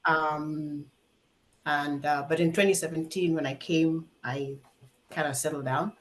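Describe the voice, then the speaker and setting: A woman with a Kenyan accent and a fairly low pitch talks as an interview guest. The style is informal, spoken self-introduction. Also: steady tone, a little slow, slight background noise.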